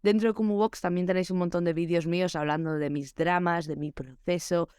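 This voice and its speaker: A woman's voice: on the low side, steady tone, fairly quick, a little mellow, clean tone, dry recording, studio quality. A young adult woman hosting a solo podcast for teachers, chatty and reflective, giving practical advice.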